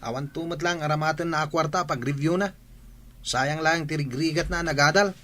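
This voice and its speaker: A woman's voice: low voice, fairly quick, fairly bright tone, slight background noise. A woman with an Ilocano accent acting a whiny, demanding student pestering a parent for money in a radio drama. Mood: irritated.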